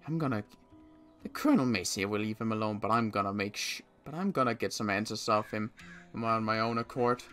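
A man's voice: lowish voice, brisk, bright, forceful tone, soft background music. A man playing a suspicious, agitated apocalypse survivor in improvised game roleplay, muttering to himself with stammers and repeated words. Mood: brooding, suspicious.

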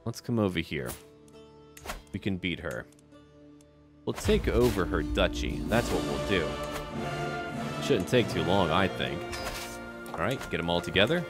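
A man's voice: sits low, sing-song, unhurried, pauses often, music in the background. A man giving roleplay commentary over a strategy game, talking to himself and the characters in short, playfully menacing sentences. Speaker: gaming video creator playing a strategy game.

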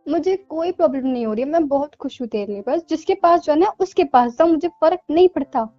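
Sulky young woman played by an actress for phone drama, high-pitched and snappy, dull recording over music. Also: lively intonation, fast talker, warm tone, dry recording. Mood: angry, defensive. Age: young adult. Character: jealous, defensive young female best friend. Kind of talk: naturalistic phone-call relationship drama. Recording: muffled lo-fi audio under music.

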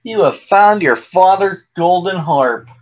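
A man voicing an excitable, loving fairy-tale mother in high exclamations. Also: slow, dull audio. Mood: delighted wonder.

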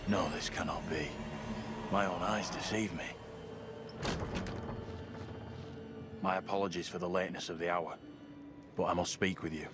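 An actor playing an earnest, troubled young colonial townsman, speaking formally and gravely in period drama dialogue. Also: low-pitched, fairly even intonation, a little fast, over loud music, natural voice. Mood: troubled, urgent. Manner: formal, grave, archaic phrasing.

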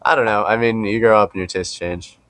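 A man's voice: fairly low pitch, steady tone, a little fast, a bit bright, no echo. A young man, a band member, chatting casually as a guest in a rambling way peppered with "like". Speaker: indie rock band member, podcast guest. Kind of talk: casual chat.

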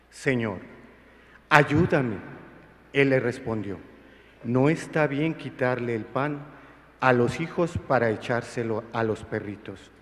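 Man reading the Gospel in a reverberant church. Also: medium pitch. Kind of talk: solemn liturgical scripture reading. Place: large church interior.

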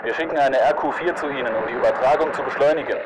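An actor playing a firm, controlled military command operator in video game radio chatter, formal and authoritative over radio. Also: male voice, mid-range voice, fast. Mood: firm, businesslike. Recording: very noisy military radio transmission.

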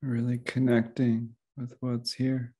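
Slow, mellow man guiding meditation. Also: mid-range voice, monotone, husky. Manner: gentle, unhurried, with long pauses. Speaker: meditation teacher. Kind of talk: guided meditation.

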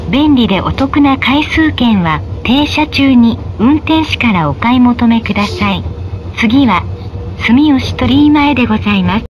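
A woman reading formal, scripted tram stop announcements, politely and with measured, careful enunciation. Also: expressive, muffled recording. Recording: noisy in-vehicle recording with tram rumble. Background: tram running noise.

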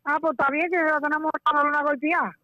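A woman's voice: sits high, steady tone, very fast, no room sound, dull audio. A middle-aged woman with a Dominican accent, giving short blunt replies in a casual phone conversation. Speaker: prank call recipient, juice seller. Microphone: telephone.

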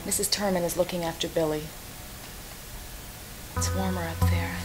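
A woman's voice: on the low side, steady tone, normal speed, lightly acted, rough recording. A woman acting in an audio drama as someone lonely and seeking comfort, intimate and imploring. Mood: pleading, intimate. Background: loud dramatic music.

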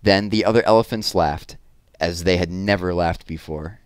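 A man in his thirties reading a children's story aloud expressively, with deliberate pauses and drawn-out words, on a headset mic. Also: deep voice, clean tone, dry recording. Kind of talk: children's read-aloud narration. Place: small room at home, indoors.

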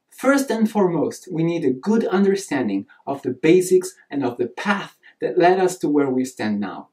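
A man in his thirties with an Italian accent, giving a scripted introductory lecture straight to camera with earnest, emphatic delivery. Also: fairly high pitch. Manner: earnest, animated hand gestures, emphatic delivery. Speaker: nutrition course instructor.

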